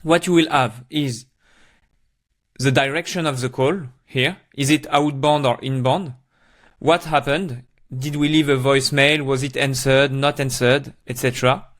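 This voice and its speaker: A man presenting a software tutorial, explaining step by step in plain, short instructional phrases. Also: mid-range voice, steady tone, a little slow.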